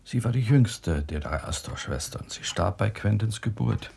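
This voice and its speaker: A man playing a grave, knowing country doctor in a German radio drama, measured and sombre. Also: lowish voice, animated, vocal fry, a little mellow, slight background noise. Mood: grave, explanatory.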